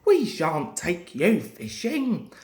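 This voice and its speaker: Man reading as a jovial, animated train driver. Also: sits high, slow, heavily acted. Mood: reassuring. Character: confident, teasing male train driver. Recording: echoey room.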